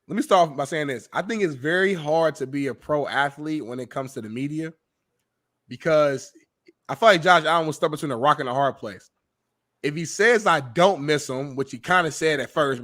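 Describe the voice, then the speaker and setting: A man's voice: fairly high pitch, a little fast, a bit bright. A man in his thirties hosting a casual sports talk show, opinionated and laughing often. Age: 30s. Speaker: host.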